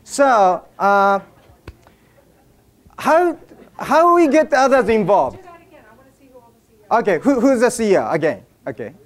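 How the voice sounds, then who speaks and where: A man's voice: high voice, very animated, slow, long pauses. A man with a Japanese accent gives a humorous talk to a live audience, getting people to join in. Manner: jokey, drives audience participation. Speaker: speaker at an event. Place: event room with an audience.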